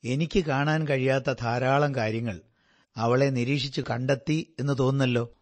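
A man playing an earnest doctor, a detective's attentive companion, in a radio drama, with measured, descriptive delivery. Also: mid-range voice, fairly even intonation, natural voice. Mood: earnest, observing.

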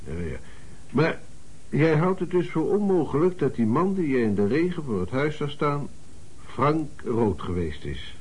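An actor playing a matter-of-fact police commissioner in a Dutch radio play, questioning tersely in a husky voice. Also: male voice, fairly high pitch, very animated, unhurried, a little mellow, heavily acted. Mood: probing.

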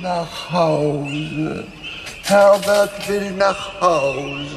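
A befuddled, fearful old man from a radio play, high and gravelly. He drags out each word in a plaintive wail, echoing through a cavern. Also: background noise. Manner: plaintive, drawn-out wailing, third-person self-reference. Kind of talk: German radio drama acting. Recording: long cavern reverb, music behind.

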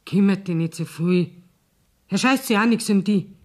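Radio play: actress as shrewd, blunt Bavarian mother, earthy dialect, mid-pitched voice, always after the money. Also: normal speed, darkish tone, lightly acted. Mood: pushy, scornful. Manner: blunt, colloquial.

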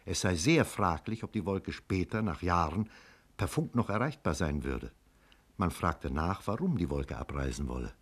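A man's voice: deep voice, animated, normal speed, slightly rough. A man playing the narrator of a 1950s German radio play, reporting in a formal, matter-of-fact manner. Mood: sober. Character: sober male narrator reporting events.

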